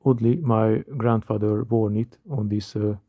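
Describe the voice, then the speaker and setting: Hungarian-accented man in his thirties, dry close voice-over, slow and self-mocking. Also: on the low side, steady tone, no room sound, narrow, tinny audio. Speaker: watch collector. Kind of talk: scripted voice-over narration.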